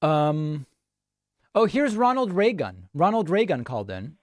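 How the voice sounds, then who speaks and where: A man's voice: on the high side, wildly swooping pitch, measured pace, no room sound, studio quality. A man hosting a live show, talking casually and matter-of-factly with a dismissive streak. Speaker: show host. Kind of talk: casual live commentary on voicemails.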